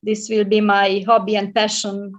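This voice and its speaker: A woman's voice: mid-range voice, completely flat delivery, normal speed. A middle-aged woman from Romania, a librarian and English tutor, speaking as a guest in a casual video-call interview, hesitant with many fillers and restarts. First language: Hungarian. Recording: muffled lo-fi audio.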